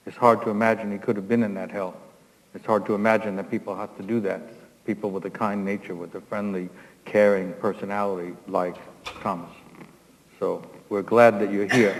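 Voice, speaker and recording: A man with a deep voice leads a ceremony, addressing those present with gentle encouragement. His intonation stays very even, ringing through a reverberant hall with faint audience sound. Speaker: ceremony leader. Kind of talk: spoken address to participants at a ceremony.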